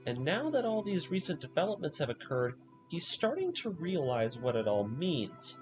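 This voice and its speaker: A man giving scripted narration in the manner of a video essay, telling a story dramatically with emphatic pauses. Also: a little high, wildly swooping pitch, music in the background.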